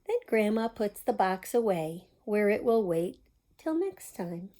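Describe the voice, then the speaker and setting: Slow, expressive woman reading a storybook. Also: medium pitch. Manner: expressive, addressing young children with small asides. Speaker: storytime reader at a community library. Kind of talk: read-aloud of a children's picture book.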